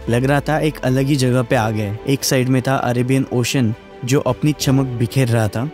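A man's voice: medium pitch, a little mellow. A man narrating a road-trip travel video as a voice-over, enthusiastic and mixing languages. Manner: enthusiastic, mixing Hindi and English.

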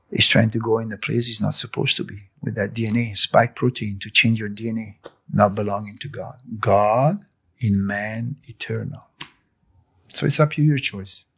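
Older guy, english not his first language, low husky voice, preachy and emphatic, handheld mic in a living room. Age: 60s. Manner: preachy, emphatic, gestures with hands. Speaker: cafe owner interviewed. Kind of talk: impassioned interview monologue. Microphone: handheld fluffy interview mic.

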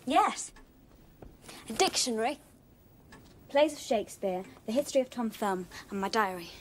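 A woman's voice: fairly high pitch, animated, moderate pace, a little hiss or hum, close to an everyday voice. A young woman playing an eager, bookish, curious teenage daughter in a naturalistic television drama. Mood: eager, quiet.

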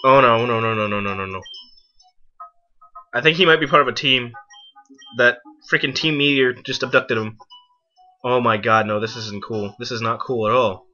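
A young man giving casual, excitable let's play commentary, exclaiming and talking to the game and himself. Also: medium pitch, moderate pace, long pauses. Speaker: gaming video host. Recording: muffled lo-fi mic, game music behind. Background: video game music.

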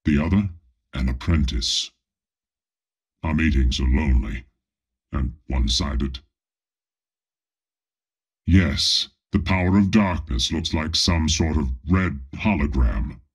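An actor playing a pompous, menacing armored space lord with a robotic body, speaking imperiously with deadpan comic asides in a video game. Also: male voice, takes it slowly, pauses often, heavily acted. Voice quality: deep, husky, menacing. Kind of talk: video game character voice lines.

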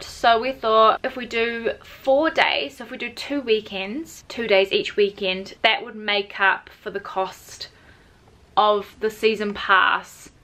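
A woman's voice: on the high side, sharp tone. A woman, chatting casually to camera in her bedroom, bubbly and full of ums and likes. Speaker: vlogger. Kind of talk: casual chatty vlog talk. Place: bedroom at home, indoors.

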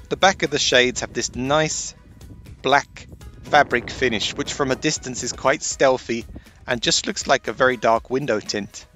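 A man giving a steady, explanatory voice-over for a van life how-to video. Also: medium pitch. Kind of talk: scripted voice-over narration. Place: based in Sweden.

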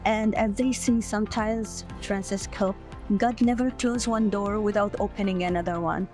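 Lady, earnest n emphatic interview guest, medium pitch, faint music behind. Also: steady tone, normal speed, a little mellow. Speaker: guest, mother of patients. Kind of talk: personal testimony in interview. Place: large open hospital lobby, indoors, seated on sofa.

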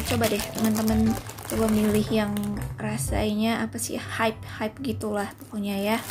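Casual woman vlogger, a bit high-pitched, chatty voice-over with music behind. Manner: chatty, pauses to recall prices. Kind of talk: casual grocery haul voice-over. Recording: voice-over with clear background music.

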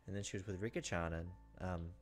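A man's voice: low voice, steady tone, fast. A man, reading visual novel text aloud as a gaming host, with casual asides. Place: indoors, recording at a computer. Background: faint game music.

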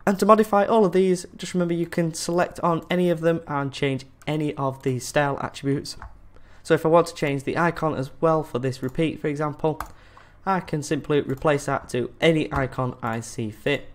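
A man's voice: a little high, fairly even intonation, fairly quick. A man presenting a screencast tutorial in a casual, friendly instructional way.

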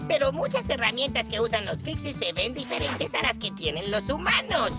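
An actor voicing a kindly elder teacher, a tiny cartoon creature, explaining things in an instructive, expressive way. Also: mid-range voice, normal speed, music in the background, close to an everyday voice. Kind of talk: animated cartoon dubbing, explanatory narration.

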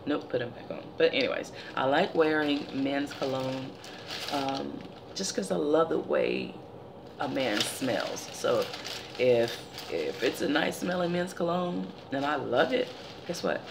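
A woman in her forties speaks in a deep, gravelly voice that sounds a little tired. She chats casually and tells stories as a vlogger in her living room. A steady hiss sits under the recording. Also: a little slow. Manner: chatty, animated storytelling. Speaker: beauty vlogger. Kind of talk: casual product haul chat. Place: living room by window blinds, indoors.

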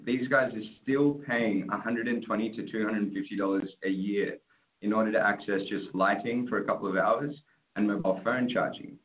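A man giving a casual, upbeat webinar presentation over a video call, often tagging on "right?". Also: fairly low pitch. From Australia. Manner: casual, upbeat, tag questions. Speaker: startup presenter. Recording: tinny call audio.

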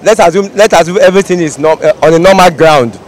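A man's voice: sits high, fast talker. A middle-aged Nigerian man with a Nigerian accent, answering a street interview at a roadside, rambling and repeating himself. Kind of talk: vox pop street interview. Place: busy city roadside outdoors. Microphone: handheld reporter mic. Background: traffic and street ambience.

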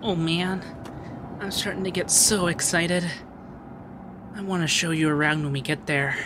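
A man playing a shy, sweet young femboy heir in an ASMR roleplay, hesitant and gently flustered, stammering as he talks. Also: fairly high pitch, steady tone, measured pace, noisy background, lightly acted. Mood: excited, welcoming. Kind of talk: ASMR roleplay audio drama.

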